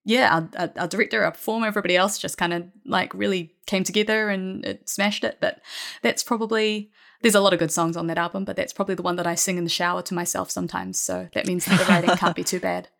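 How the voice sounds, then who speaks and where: A woman with a slightly low voice speaks as an interview guest. Her delivery is casual and enthusiastic, in an informal conversational style. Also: fairly even intonation, fast talker, vocal fry, fairly bright tone. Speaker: writer and lyricist interviewed as a guest.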